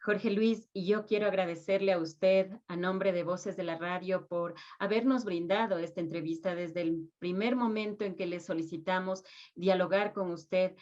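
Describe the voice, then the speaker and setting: A woman's voice: lowish voice, fairly even intonation, moderate pace, darkish tone, muffled recording. A woman hosting a radio interview, asking polite, formal questions.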